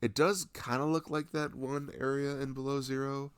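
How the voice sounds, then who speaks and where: A man's voice: mid-range voice, flat delivery, normal speed, clear, resonant tone, no room sound. A young adult man chatting casually and enthusiastically about video games in a rambling, slangy way, close to the microphone.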